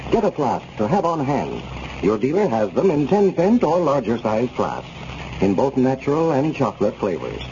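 Smooth 1930s radio announcer man. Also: mid-range voice, huge pitch swings, moderate pace, mellow, slight background noise. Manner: smooth, polished announcer delivery. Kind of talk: scripted sponsor announcement. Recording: muffled 1930s radio broadcast.

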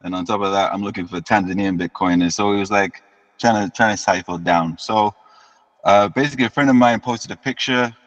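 A man in his thirties speaks with a fairly low voice, easing through his story as a guest and doubling back on words as he goes. The recording sounds dull and lo-fi, with a long reverb hanging behind him. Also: brisk. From United Kingdom. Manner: relaxed storytelling, often repeats words as he restarts. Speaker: guest musician. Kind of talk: casual interview chat.

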